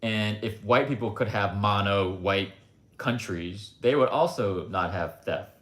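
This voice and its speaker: A man's voice: lowish voice, a little slow, fairly bright tone. A man hosting a livestream, talking in a blunt, rambling, opinionated way with lots of asides. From United States. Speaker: livestream host. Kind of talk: casual opinionated monologue. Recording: roomy echo.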